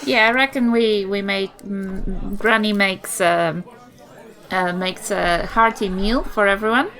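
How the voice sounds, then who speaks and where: A woman's voice: mid-range voice, slow, a bit bright. A woman with a New Zealand accent chatting casually as a player in a tabletop roleplaying game. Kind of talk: casual improvised roleplay chat.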